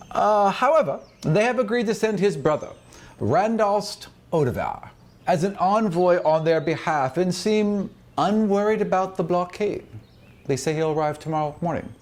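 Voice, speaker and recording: A man voicing a loyal female spymaster who reports to her ruler in a formal, measured, deferential manner. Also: high-pitched, pitched above the performer's own voice.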